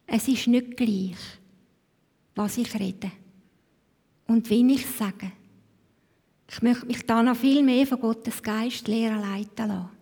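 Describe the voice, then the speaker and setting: A Swiss woman leads a church service, her slightly high voice reading scripture at a slow, thoughtful pace. Her phrase ends sink to a near whisper. The sound carries the long reverb of a church hall. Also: steady tone, warm tone, breathy edge. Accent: Swiss German. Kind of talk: devotional talk and scripture reading.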